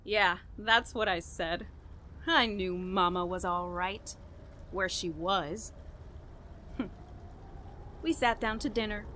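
An actress playing a guilt-ridden, unraveling woman in a radio horror play, telling her story in a halting, dramatic way. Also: medium pitch, sharp tone, slight background noise. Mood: darkly gloating. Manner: halting, repeating words, breaking into nervous laughs and gasps. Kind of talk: dramatic first-person horror monologue in old-radio style.

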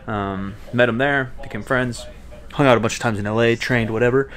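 A man's voice: mid-range voice, brisk, a bit bright. Laid-back young man vlogging on a ship. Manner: laid-back, slangy, many fillers. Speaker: fitness vlogger. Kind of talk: casual vlog talk to camera. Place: small ship cabin, then ship deck. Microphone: handheld camera mic at arm's length.

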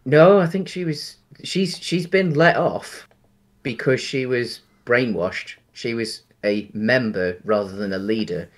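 A man's voice: mid-range voice, a little slow. A man, co-hosting a casual film chat over a video call, rambling through restarts. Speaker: podcast co-host. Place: home room on a video call.

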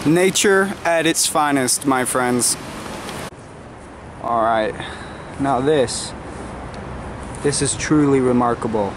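A young man speaks slowly and haltingly, like a deadpan spoof of a nature host. His voice sits a little high for a man. He is recorded outdoors in a backyard, with clear noise behind him. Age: young adult. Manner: halting, deadpan mock-reverent delivery. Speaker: host of a comedy cooking show. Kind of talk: mock nature-documentary vlog to camera. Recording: noisy outdoor camera audio, background hiss.

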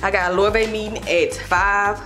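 A young American woman vlogs in a casual, slangy style, talking straight to her viewers. Her pitch sits in the middle range for a woman. Music plays clearly behind her voice. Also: fairly even intonation, normal speed. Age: young adult. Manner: informal, slangy, addressing viewers directly. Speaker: vlogger. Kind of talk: casual chat to camera. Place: indoors, mostly a home kitchen.

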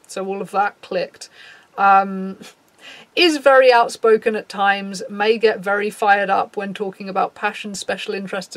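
Woman, 30s, low raspy voice; blunt, sweary talk to camera, dry sound. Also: no echo. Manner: frank, swears freely, reads out a list and reacts. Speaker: YouTuber. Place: small dim room, indoors.

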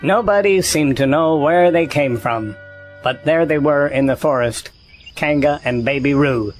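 A man's voice: on the high side, unhurried, lightly acted. A man playing the narrator of a children's storybook audio drama, reading scripted narration. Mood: storytelling. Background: faint music.

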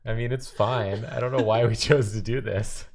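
A woman's voice: rumbling low, fairly even intonation, normal speed, crowd noise. A woman co-hosting a live stream, playful and jokey in casual chat. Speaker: stream co-host.

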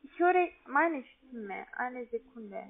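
Female telesales agent, non-native with foreign accent, high voice, halting delivery, phone-line sound. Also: expressive, gravelly. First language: not German. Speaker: call centre account agent selling online trading. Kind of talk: phone sales pitch. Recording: tinny, narrow phone line.

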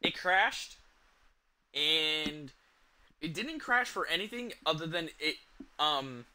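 Young man, game streamer, casual and sweary, halting delivery, notably slow pace, very bright forward tone. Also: on the high side. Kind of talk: casual livestream chat over gameplay. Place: small indoor room at home.

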